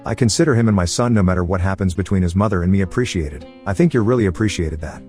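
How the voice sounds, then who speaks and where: Guy reading a forum story out loud, quick and even, kinda deep, soft guitar in the back. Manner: brisk, steady first-person reading. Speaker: story narrator. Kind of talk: scripted narration of a forum post.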